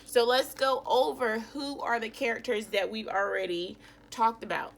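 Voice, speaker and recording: A woman teacher giving a children's read-aloud lesson in an expressive, instructive way. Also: fairly high pitch, normal speed, creaky. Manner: expressive, instructive, asks questions of pupils.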